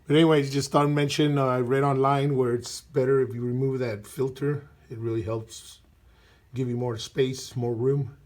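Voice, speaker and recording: A man whose voice has gone husky from a cold talks his way through a garage repair job. He speaks into a phone he holds while working under the vehicle. His talk is loose and rambling, full of fillers and throat clearing. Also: medium pitch, fairly even intonation, moderate pace, darkish tone. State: hoarse with a cold, losing his voice. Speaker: DIY car mechanic vlogger. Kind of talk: casual workshop walkthrough. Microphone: phone camera held while working.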